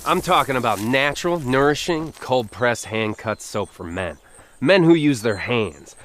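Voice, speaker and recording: A man giving a punchy, comedic scripted ad pitch in a brash, mock-macho manner. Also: animated, a little slow, a bit bright, slight background noise. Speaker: commercial pitchman.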